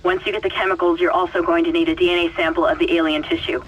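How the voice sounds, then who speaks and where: An actress playing a wary, practical crew technician, guiding someone briskly over radio in a video game. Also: female voice, on the low side, level tone, slightly rough, dull audio. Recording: distant radio link, music behind.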